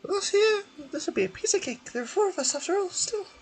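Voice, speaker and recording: A man voicing a small, excitable fairy companion from a video game, chirpy and speaking in the third person. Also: very high-pitched, quick pace, pitched above the performer's own voice, heavily acted.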